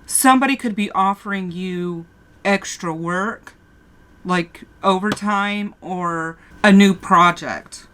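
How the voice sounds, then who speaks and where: A woman's voice: on the low side, fairly bright tone. A woman with a sore throat giving a casual tarot reading, talking straight to her viewers and often restarting her sentences. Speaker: tarot reader.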